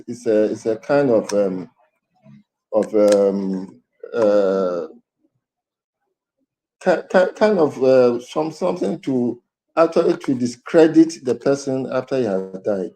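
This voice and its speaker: A man giving his opinion as a news panellist, halting, with stammered restarts and repeated words. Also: medium pitch, mellow, low-quality recording.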